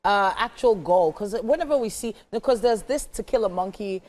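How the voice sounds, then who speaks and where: A woman's voice: medium pitch, expressive, normal speed, a little mellow. A woman hosting a TV morning show, interviewing a guest with lots of short encouraging replies. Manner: encouraging, with frequent short acknowledgements.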